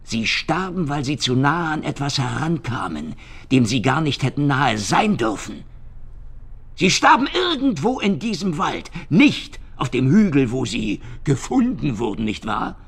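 Gravelly, swaggering hardboiled Soviet investigator. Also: male voice, medium pitch, big pitch swings, a little slow, exaggerated voice. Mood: sharp, accusing. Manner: sardonic, insistent, swaggering. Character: cynical, streetwise hardboiled Soviet secret police investigator. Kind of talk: German radio crime drama acting.

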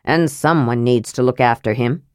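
Woman doing a gruff, low-pitched old male dwarf. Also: expressive, measured pace, no echo, studio quality. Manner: gruff, dour, snorting.

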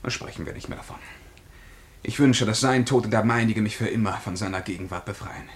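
Man playing a pompous, imperious time traveller, low voice. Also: brisk, natural voice. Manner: pompous, declamatory, commanding. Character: haughty, menacing male time traveller from a vain empire. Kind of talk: German radio drama acting.

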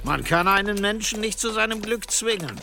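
An actor in a radio drama playing a serene, condescending travelling bishop, pious and patronising. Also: male voice, sits high, normal speed. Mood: smug, pious. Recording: music and outdoor effects behind.

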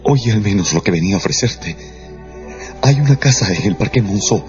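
An actor playing an insistent, theatrical gentleman in a radio drama. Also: lowish voice, lively intonation, a little fast, lightly acted. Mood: ardent, pleading. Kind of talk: Spanish-language radio drama acting. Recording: dull radio drama with music behind.

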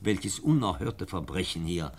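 A man's voice: deep voice, very animated, a little slow, full character voice. An actor playing an earnest, protective, idealistic schoolteacher in a 1950 German radio drama, impassioned but deferential to his superiors. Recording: old, faintly noisy radio recording.

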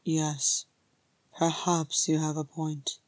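Woman doing a broody gruff dwarf king in a storybook, solemn n terse, real slow, dark voice. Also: deep voice, little pitch movement, no echo. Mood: softened. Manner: grim, terse, solemn, growling.

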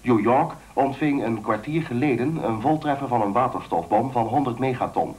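A man acting a formal radio newsreader in a Dutch-language radio play, reading bulletins in a measured way. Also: medium pitch, lively intonation, a little mellow, lightly acted. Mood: grave, formal.

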